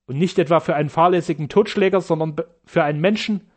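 A male narrator plays a fearless German doctor in an audiobook. He speaks with formal, heated conviction and accuses his rivals outright. The voice sits a little higher than a typical man's. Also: brisk, close to an everyday voice. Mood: indignant.

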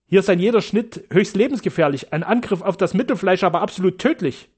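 A man's voice: on the high side, fairly quick, natural voice. Man voicing an indignant, fearless German doctor. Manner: heated, accusing, formal.

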